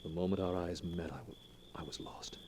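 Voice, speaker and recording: A charming young rogue who coaxes and flatters, as an actor plays him. His voice is very deep. A faint hiss sits under this 1940s radio recording. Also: fairly quick, lightly acted. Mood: tender. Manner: smooth, coaxing.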